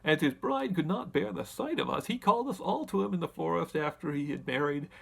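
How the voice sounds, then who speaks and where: A man voicing a talkative winged monkey king who recounts his tribe's history in an animated, deliberate storybook manner. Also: on the high side, gravelly, slightly rough, heavily acted. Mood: explanatory. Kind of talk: storybook character narration.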